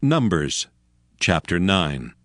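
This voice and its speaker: A man reading scripture as a narrator in a solemn, measured, dramatized style. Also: low-pitched, very animated, a bit bright, dry recording, clean studio sound. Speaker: Bible narrator. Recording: music under the voice.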